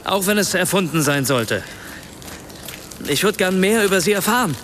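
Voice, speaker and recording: An earnest writer full of self-doubt, his voice on the high side. He picks his way through sentences hesitantly, apologetic yet probing, in naturalistic radio drama dialogue. A faint rumble of vehicles sits behind him. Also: expressive, moderate pace, fairly bright tone. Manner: hesitant, self-interrupting, searching for words.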